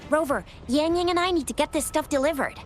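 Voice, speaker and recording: An actress playing a brisk, helpful young fighter in a video game. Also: female voice, sits high, a little hiss or hum, lightly acted. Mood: concerned, brisk. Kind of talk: video game character dialogue.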